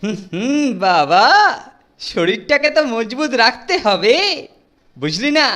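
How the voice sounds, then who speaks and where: A woman's voice: on the high side, sing-song, takes it slowly, fairly bright tone, heavily acted. A woman voicing a boastful, bossy teenage boy in a cartoon, lecturing others in a pompous, mock-grand way. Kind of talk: cartoon dub acting.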